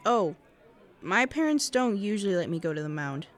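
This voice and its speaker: A woman's voice: mid-range voice, big pitch swings, normal speed, lightly acted. A woman playing an awkward, guarded seventeen-year-old girl with a hesitant, self-conscious manner in a fiction podcast. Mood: uneasy. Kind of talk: audio fiction podcast acting.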